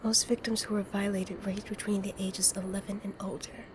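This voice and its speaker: A woman's voice: mid-range voice, little pitch movement, moderate pace, music in the background, lightly acted. A woman playing a blunt, earnest and wounded heroine in an audio drama. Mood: grave, earnest. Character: blunt, intense, wounded heroine, protective of victims. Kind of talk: fan audio drama acting, dramatic monologue.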